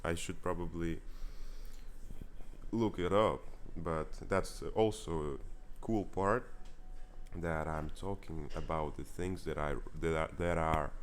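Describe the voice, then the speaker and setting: A young man with a Russian accent speaking English as a second language in a candid vlog monologue. He is earnest and halting, searching for words and often correcting himself. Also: low voice, steady tone, a little hiss or hum. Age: young adult. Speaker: vlogger. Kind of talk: casual confessional monologue.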